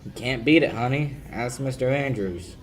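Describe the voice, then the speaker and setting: A man's voice: mid-range voice, moderate pace, lightly acted. A young male amateur actor playing a cocky, wisecracking sleuth with a confident, needling manner in a 1940s radio drama style. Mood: wry, relaxed. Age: young adult. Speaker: amateur high school actor.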